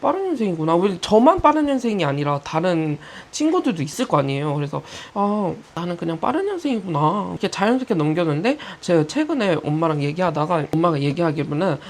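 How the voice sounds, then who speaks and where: A young woman in her twenties sits and tells her life story as a casual vlog. Her voice sits on the lower side, but it rises and falls a great deal. She slips in chatty asides as she goes. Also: brisk, hardly any pauses. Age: 20s. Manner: candid, chatty, animated retelling with quoted asides. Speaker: YouTuber.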